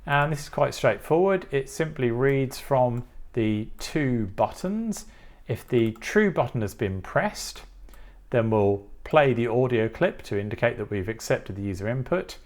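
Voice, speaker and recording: A man's voice: mid-range voice, expressive, a little slow, darkish tone. Man methodically explaining a tutorial. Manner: methodical, step-by-step. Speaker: tutorial presenter. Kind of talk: explanatory coding tutorial walkthrough.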